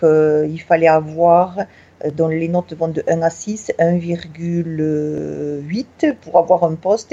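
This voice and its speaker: Slow gravelly woman with southwest French accent. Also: deep voice, dark tone, muffled recording. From Tarn, southwest France. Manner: slow and hesitant, many filler pauses. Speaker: teacher at a Bavarian high school. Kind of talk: interview answer.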